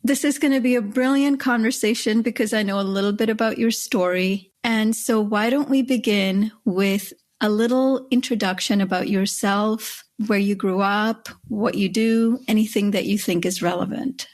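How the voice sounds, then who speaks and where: A woman's voice: medium pitch, normal speed, hardly any pauses, a little mellow. Earnest woman hosting a podcast. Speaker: podcast host. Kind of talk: podcast intro and interview. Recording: music bed under voice.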